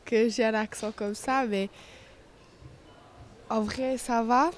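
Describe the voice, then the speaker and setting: A woman's voice: on the high side, fairly even intonation, slow, a little hiss or hum. A woman from France answering street interview questions, shy and giggling as she talks.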